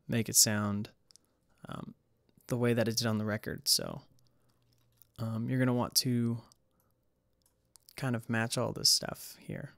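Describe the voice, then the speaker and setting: A man's voice: medium pitch, creaky, sharp tone, no echo, studio quality. A man giving a casual, hesitant tutorial voice-over as he explains music production. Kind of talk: casual screen-recorded tutorial narration.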